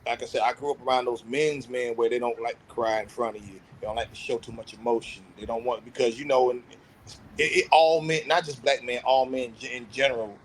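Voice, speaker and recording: A man's voice: medium pitch, steady tone, brisk, a little hiss or hum, low-quality recording. Earnest, reflective man in his thirties. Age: 30s. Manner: earnest, self-reflective, opens up about feelings. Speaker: podcast panelist. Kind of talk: candid casual podcast conversation.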